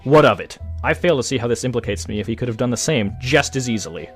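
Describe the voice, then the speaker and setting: Scheming royal guard stallion played by a man, animated and theatrical, feigned loyalty, insistent, music underneath. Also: medium pitch, fast talker, close to an everyday voice. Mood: indignant. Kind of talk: dramatic audio drama acting.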